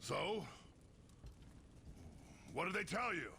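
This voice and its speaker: A man's voice: high voice, sing-song, takes it slowly, bright, forceful tone, slight background noise, full character voice. A man playing a blunt, commanding Viking raid leader in a video game cutscene.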